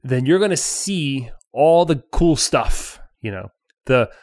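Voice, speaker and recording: A man's voice: medium pitch, lively intonation, slow, a little mellow, no echo. Man, finance podcast co-host, playful and teasing, dry close sound. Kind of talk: casual financial podcast chat.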